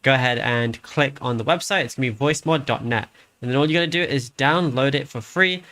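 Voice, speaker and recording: A man's voice: medium pitch, fairly quick, sharp tone. A man hosting a how-to tutorial, narrating the steps in an informal, matter-of-fact way. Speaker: tutorial host.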